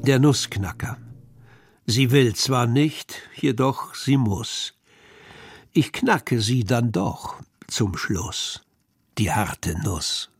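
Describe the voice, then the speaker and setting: A man reciting poetry for a children's radio programme in a measured, deliberate storytelling way. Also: mid-range voice, husky, dry recording, clean studio sound.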